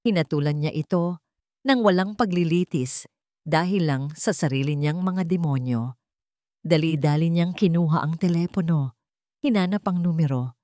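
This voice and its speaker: A woman reading a scripted love story as narrator, solemn and measured, with dramatic pauses. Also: low-pitched, a little mellow, no echo, studio quality.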